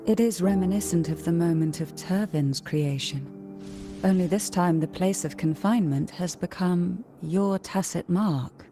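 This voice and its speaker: Game role: mysterious noblewoman female actor, lowish voice, measured and coy, music underneath. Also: natural voice. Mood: calm, grave. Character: poised, teasing, mysterious noble family matriarch. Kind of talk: video game voice acting.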